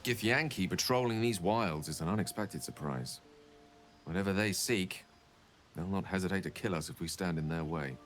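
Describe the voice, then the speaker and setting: An actor playing a grave, wary adventuring companion in a video game, with a formal, solemn manner. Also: male voice, sits low, moderate pace, faint music behind, close to an everyday voice. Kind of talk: video game character dialogue.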